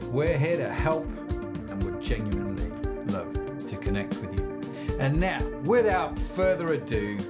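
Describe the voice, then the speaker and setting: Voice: medium pitch, very animated, measured pace. An announcer reading an upbeat scripted promo for a podcast. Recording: read over loud music.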